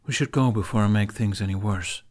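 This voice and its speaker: A man's voice: lowish voice, brisk, lightly acted. A man voicing a regretful, protective young male bard in an audiobook.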